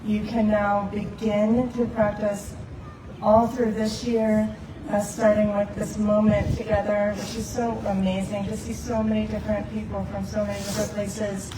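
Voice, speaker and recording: A woman's voice: medium pitch, level tone, moderate pace. A woman shares heartfelt, hesitant remarks at a community open mic. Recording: distant mic, roomy, background noise.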